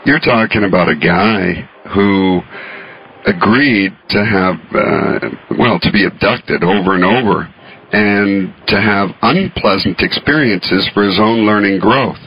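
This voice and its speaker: A man's voice: on the low side, unhurried, husky. A man, a radio station producer, calling in and talking thoughtfully with hesitant restarts. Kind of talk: casual call-in chat. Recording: dull lo-fi radio audio.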